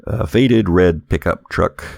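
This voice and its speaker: A man's voice: low voice, takes it slowly, gravelly, a little mellow, no room sound, clean studio sound. A man narrating a tabletop roleplaying game as game master, describing scenes in a conversational, slightly hesitant way.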